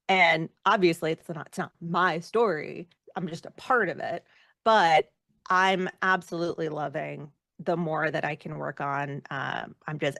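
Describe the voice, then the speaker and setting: Woman, lower-pitched voice, dry close sound, effusive, informal, casual interview chat. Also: a bit bright, no room sound. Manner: effusive, informal, emphatic about loving the work. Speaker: guest, actor and former rock singer.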